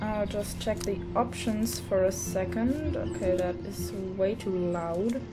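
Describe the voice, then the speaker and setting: A woman giving casual let's play commentary on a game, halting and muttering little asides to herself. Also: medium pitch. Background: video game music.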